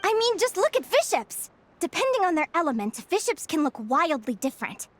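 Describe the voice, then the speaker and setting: A woman playing a cheerful, stubbornly optimistic young adventurer in a video game, bubbly, excitable and earnest. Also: very high-pitched, a little fast, slight background noise. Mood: excited, delighted. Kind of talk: animated video game voice acting.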